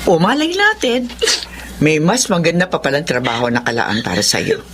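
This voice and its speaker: A woman's voice: low voice, sing-song, normal speed, fairly bright tone, a little hiss or hum. An actress with a Filipino accent playing a caring, encouraging middle-aged mother in a radio drama. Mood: comforting.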